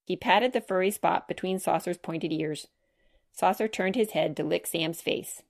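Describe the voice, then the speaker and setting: A woman's voice: lowish voice, normal speed, creaky. A woman reading a children's chapter book aloud as scripted storybook narration.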